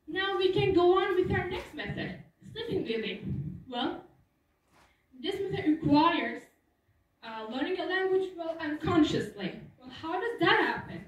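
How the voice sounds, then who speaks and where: A teenage girl, giving an earnest, explanatory prepared talk as a student speaker. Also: high-pitched. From Turkey. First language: Turkish. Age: teens. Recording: echoey hall, voice from far off.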